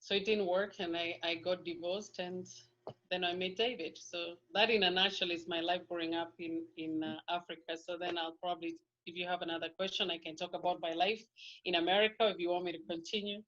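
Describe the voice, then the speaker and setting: A woman's voice: fairly low pitch, steady tone, fairly quick, fairly bright tone, highs cut off. A middle-aged woman from Kenya with a Kenyan accent, whose first language is Kikuyu, talking through her life story as a guest in a casual interview, with many restarts.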